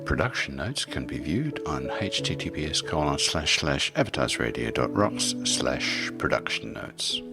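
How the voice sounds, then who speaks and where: A man's voice: extremely low pitch, very animated, normal speed, vocal fry, hardly any pauses. A man hosting a radio storytime show, moving between casual announcements and scripted story reading over music.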